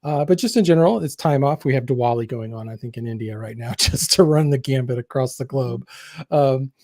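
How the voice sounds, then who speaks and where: Mellow man hosting a podcast. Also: mid-range voice, no echo, clean studio sound. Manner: relaxed, with many fillers and chuckles. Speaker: podcast host.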